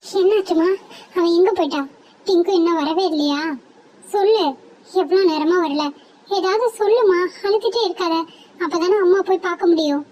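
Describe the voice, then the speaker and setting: A fretful mother sparrow in a cartoon, voiced by a woman in a very high pitch. She scolds and frets over her chicks, with a faint hiss under the recording. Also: normal speed, darkish tone, low-quality recording. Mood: worried. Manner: scolding, anxious. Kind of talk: cartoon voice acting.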